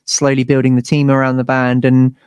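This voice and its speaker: A British man speaks casually as an interview guest, in a slightly low voice. He rambles informally through his stories like a musician among peers. The sound is dry, with very little room to it. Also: completely flat delivery, brisk, darkish tone, clean tone, no echo, dull audio. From United Kingdom.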